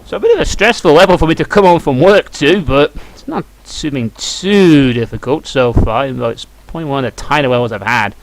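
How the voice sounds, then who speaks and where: A man's voice: a little high, lively intonation, a little fast, hardly any pauses. A British man giving casual, off-the-cuff commentary as he plays a game, wry and grumbling. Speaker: let's play commentator. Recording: noisy home recording, game sound behind. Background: shooter game gunfire and clicks.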